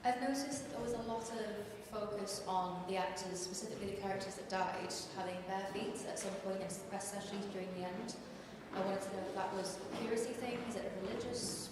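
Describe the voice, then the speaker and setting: A woman's voice: medium pitch, steady tone, fast, few pauses. A woman in the audience asking a filmmaker a playful question at a live Q&A. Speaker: audience member. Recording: echoey hall, far from the mic, loud noise.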